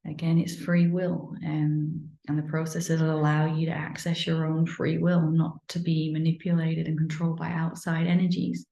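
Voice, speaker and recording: A woman from England with a Manchester accent, an energy healer talking casually about her workshops, relaxed and meandering with many ums. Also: sits low, moderate pace, vocal fry, few pauses, roomy sound. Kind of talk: casual promotional talk to camera.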